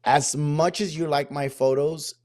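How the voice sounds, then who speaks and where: A man's voice: a little high, steady tone, measured pace, a little mellow. A man, a wedding photographer guest, talking casually on a podcast in a laid-back, slangy way. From Mexico. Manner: laid-back, slangy, says dude and bro.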